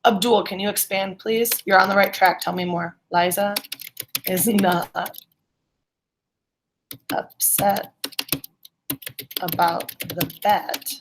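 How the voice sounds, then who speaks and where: A woman's voice: medium pitch, steady tone, slow pace, pauses often, background noise. A woman teacher leading an interactive online class, encouraging students and checking their understanding with questions. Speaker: middle school English teacher.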